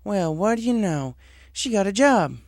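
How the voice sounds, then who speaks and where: A man's voice: sits high, very animated, moderate pace, no room sound, studio quality, pitched above the performer's own voice. A man voicing a friendly, easily surprised female farm pony in a story reading. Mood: musing.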